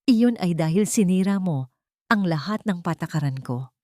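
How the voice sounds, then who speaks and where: A woman voicing a devoted, grateful man softened by love, tender and heartfelt, in a narrated story. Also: on the low side, lively intonation, moderate pace, no room sound, studio quality, natural voice.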